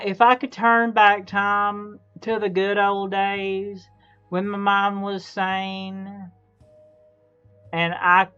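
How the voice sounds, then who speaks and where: A man in his thirties chatting casually as a live streamer, halting and repeating his phrases over and over. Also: high voice, pushed and bright, clean tone, lo-fi sound. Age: 30s. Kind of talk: casual chat while gaming.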